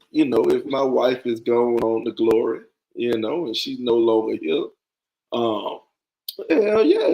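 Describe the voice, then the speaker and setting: A man of medium pitch whose voice leaps high when he jokes. He banters playfully on a podcast, laughing through his takes. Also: gravelly, rough recording. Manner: playful, laughing, stretches for jokes with sudden jumps up. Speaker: podcast co-host.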